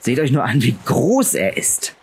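A man's voice: medium pitch, wildly swooping pitch, measured pace, slight background noise, voice pushed up. A man voicing a brash young nobleman in a fantasy audiobook, exclaiming with scorn. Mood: scornful.

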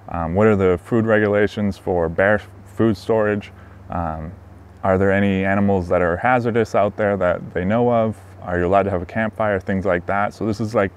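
A man in his thirties giving casual camping tips straight to camera outdoors, relaxed and conversational with frequent ums. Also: sits low. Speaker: motorcycle camping vlogger. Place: outdoors in a sunny wooded campsite.

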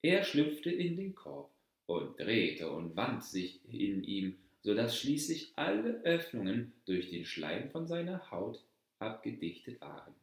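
A male storyteller reads a scripted fairy tale in a low voice. He takes his time, pacing the narration slowly and deliberately. The recording is strongly reverberant, as if made in a very echoey room. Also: big pitch swings. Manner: measured, deliberate read-aloud delivery. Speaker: storyteller reading a folk tale aloud.

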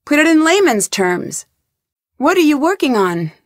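A woman's voice: sits high, very animated, unhurried, dry recording, studio quality. An actress playing a young female detective in a video game, asking polite, pointed, probing questions with composure. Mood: inquisitive.

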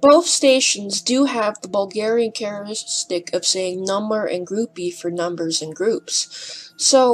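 A woman reading scripted documentary narration in a careful, slightly hesitant and breathy way. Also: medium pitch, poor audio. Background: music.

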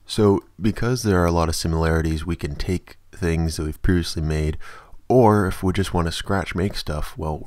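A man narrating a software tutorial as its host, explaining things in a casual, informal way. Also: rumbling low, no room sound.

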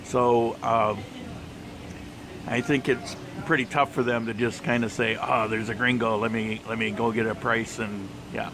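A man talking through a casual walking travel vlog in a shopping mall, relaxed and chatty with plenty of fillers. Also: medium pitch, measured pace, a crowd in the background. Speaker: travel vlogger. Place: outdoor mall terrace and shopping center. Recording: handheld camera with street and mall noise.

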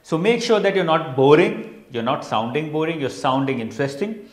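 A young man with an Indian accent giving an instructional talk as a coach, stressing keywords emphatically and pausing deliberately. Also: fairly high pitch, expressive. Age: young adult. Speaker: interview coach. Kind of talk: instructional lecture to camera. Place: indoor studio in front of a digital whiteboard. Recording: roomy echo.

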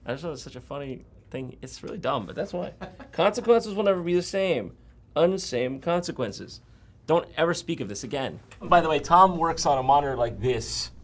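A man in his thirties hosting a casual behind-the-scenes video in an office studio, jokey and animated. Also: fairly high pitch, moderate pace. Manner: animated, jokey, bursts into excited exclamations. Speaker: YouTube host.

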